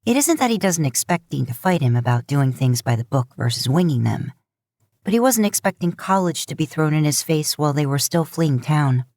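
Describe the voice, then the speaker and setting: A woman narrating a fan fiction audiobook in a steady storytelling manner. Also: low-pitched, brisk, dry recording, studio quality.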